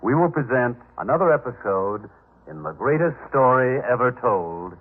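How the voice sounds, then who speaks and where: A man's voice: mid-range voice, lively intonation, normal speed. A man announcing formally and grandly in the style of a 1950s radio broadcast. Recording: noisy, crackly, tinny 1950s radio recording.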